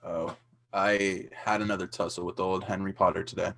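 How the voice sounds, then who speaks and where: A man's voice: deep voice, little pitch movement, normal speed, vocal fry, fairly bright tone, slight background noise. A man playing a tired, kindly, principled middle-aged father in a radio play. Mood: weary, gentle. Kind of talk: 1940s-style radio play acting.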